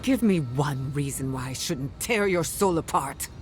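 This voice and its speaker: An actor playing a blunt adventuring companion in a video game. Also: male voice, fairly high pitch, expressive, measured pace, faint music behind. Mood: menacing. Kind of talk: scripted video game dialogue.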